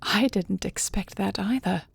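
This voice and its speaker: Woman playing a gracious dragon queen, creaky-edged voice. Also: mid-range voice, full character voice. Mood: tender, reflective. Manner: formal, measured, stately diction. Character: gracious dragon shifter queen, earnest and loving wife. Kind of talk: scripted audio roleplay acting.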